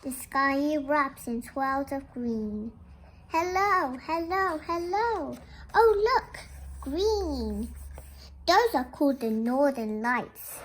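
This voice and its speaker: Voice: high voice, slow. A child presenter reading a picture book aloud expressively, with chatty asides to young listeners. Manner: expressive, sing-song repeated greetings, addresses young listeners.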